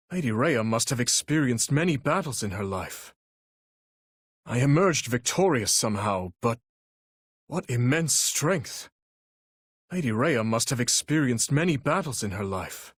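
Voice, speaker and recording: An actor playing an earnest, courteous young warrior prince in a video game, speaking formally and resolutely. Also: male voice, mid-range voice, fairly bright tone, husky, dry recording, clean studio sound. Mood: grave, gracious. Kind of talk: video game battle voice lines.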